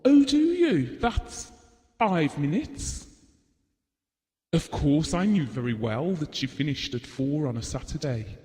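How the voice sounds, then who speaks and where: Shy, well-spoken husband, lightish voice. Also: male voice, a little high, animated, takes it slowly, warm tone, in a large hall. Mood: shy, eager. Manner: earnest, nicely spoken. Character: shy, devoted, well-spoken husband reminiscing about his marriage. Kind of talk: audio drama monologue.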